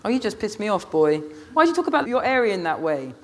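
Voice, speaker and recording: A man's voice: high-pitched, very animated, quick pace, voice pushed up. A man voicing a formidable middle-aged Rasta woman, an indignant, emphatic scolder in an audiobook story. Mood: scolding, indignant.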